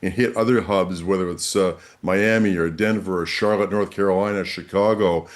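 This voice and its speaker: Guy with a lowish voice, expert being interviewed on the news, explains stuff and stresses his points. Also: steady tone, normal speed. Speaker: aviation expert guest.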